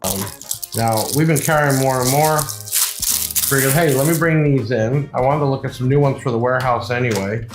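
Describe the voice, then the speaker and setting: An echoey room surrounds a man with a medium-pitched voice as music plays softly behind him. He presents products like a shop owner on camera, chatty and rambling. His delivery is informal, with an enthusiastic salesman's patter. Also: moderate pace. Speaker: video host and shop seller presenting products. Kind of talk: casual unboxing review, talking to camera.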